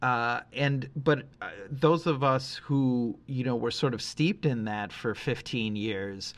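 A middle-aged man co-hosting a podcast, talking casually and reflectively, working through his thoughts haltingly with qualifications. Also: medium pitch. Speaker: podcast co-host.

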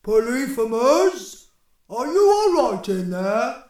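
A woman's voice: on the high side, sing-song, slow, a little mellow, reverberant, distant mic. A baffled storybook giant as a woman storyteller acts him out. He is a male Cyclops neighbour who calls out plainly, a little exasperated and not very concerned. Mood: puzzled. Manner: calling out, mildly exasperated.

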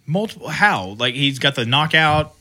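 A man co-hosting a podcast, chatting about fights and pushing back loudly in arguments. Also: fairly high pitch, animated, normal speed. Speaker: podcast co-host. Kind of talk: casual chat about fights.

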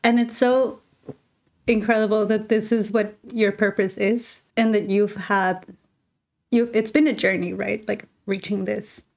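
A woman hosting a podcast who asks curious, gentle questions. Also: medium pitch, steady tone, a little slow, gravelly. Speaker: podcast host. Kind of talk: interviewing in a podcast conversation.

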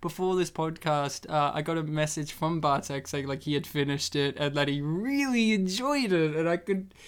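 A man's voice: a little high, normal speed, clear, resonant tone. Jokey, rambling podcast host man. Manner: jokey, rambling, laughs often. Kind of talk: casual movie-watching podcast chat.

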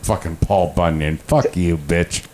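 A man's voice: sits low, a little slow, darkish tone, a little hiss or hum. A man hosting a casual chat, swearing and laughing a lot as he teases his guests. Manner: profane, laughs a lot, teasing. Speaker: host.

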